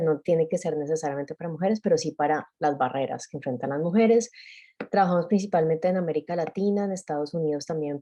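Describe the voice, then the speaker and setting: Low-voiced Colombian woman presenting. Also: dark tone. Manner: explanatory, with frequent filler sounds. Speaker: webinar presenter. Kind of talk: webinar presentation. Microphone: video call microphone.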